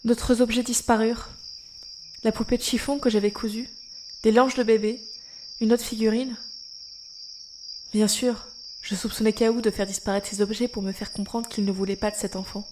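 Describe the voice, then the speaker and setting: An actress playing an anxious young mother, telling an eerie tale in measured first-person narration for an audio drama. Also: a little high, level tone, noisy background, close to an everyday voice. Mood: wistful narration.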